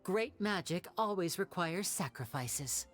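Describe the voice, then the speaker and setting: An actor voicing a suave, clever young stage magician in a video game, with measured, theatrical storytelling. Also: mid-range voice, expressive, fairly bright tone. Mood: grave, knowing. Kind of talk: video game character acting, explanatory monologue. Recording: music behind the voice. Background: game music.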